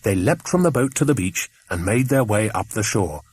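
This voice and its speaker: Animated, slightly husky English male narrator. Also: lowish voice, moderate pace. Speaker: audiobook narrator. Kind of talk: scripted children's adventure narration.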